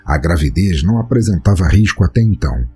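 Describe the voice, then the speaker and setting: A man's voice: sits low, animated, fast, mellow. A man with a Brazilian accent hosting and narrating a scripted storytelling podcast in a theatrical, florid manner. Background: music.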